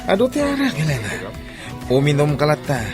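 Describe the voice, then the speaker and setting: A man's voice: on the high side, huge pitch swings, brisk, gravelly, exaggerated voice. A man with an Ilocano Filipino accent playing a sly, coaxing seducer in a radio drama. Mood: coaxing, smug. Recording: very loud music under dialogue.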